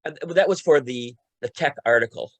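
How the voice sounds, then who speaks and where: A man's voice: medium pitch, moderate pace, a bit bright, no room sound. A man talking casually on a panel as a co-writer, often repeating himself and restarting phrases as he recounts a creative process. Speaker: radio play co-writer and panelist.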